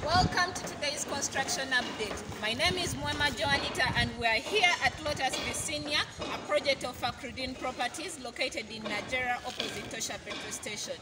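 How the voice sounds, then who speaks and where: High-voiced Ugandan woman giving an echoey tour. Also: brisk, hardly any pauses, loud music behind the voice. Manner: enthusiastic, showing rooms with wide gestures. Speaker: property sales guide. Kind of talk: walking property tour. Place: unfinished concrete apartment building, indoors and outdoors. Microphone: phone camera held by someone following her, at a distance. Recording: echoey bare rooms, distant, noisy.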